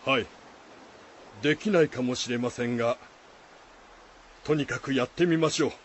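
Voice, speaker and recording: An actor playing a kindly, composed travelling Buddhist monk in a folktale radio drama, speaking politely and formally. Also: male voice, medium pitch, lightly acted. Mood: resolute. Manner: polite, deliberate, formal speech. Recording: faint background noise.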